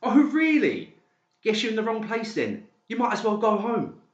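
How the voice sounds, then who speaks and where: A man's voice: high-pitched, huge pitch swings, moderate pace, pitched above the performer's own voice. A man playing a troubled, sarcastic male psychiatric patient in a dramatised audiobook, snapping and taunting. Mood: heated, sarcastic. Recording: dull, roomy recording.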